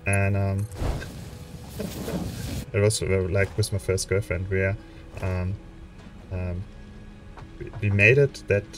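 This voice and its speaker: A man's voice: sits low, fairly even intonation, slow, pauses often, recorded from a distance, over music. A young man with a German accent, casually commenting on a game he plays, full of hesitant fillers and restarts. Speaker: let's play streamer. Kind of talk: relaxed gameplay commentary with chat.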